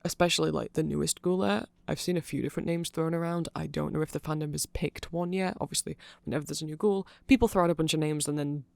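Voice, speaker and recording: A man rambles through an ASMR explainer in a heavily fried, gravelly voice that sits a little high for a male speaker. He is nerdy and discursive, doubling back to correct himself and checking in as he goes. The sound is very dry and close. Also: fairly even intonation, quick pace, few pauses, dry recording. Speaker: ASMR creator.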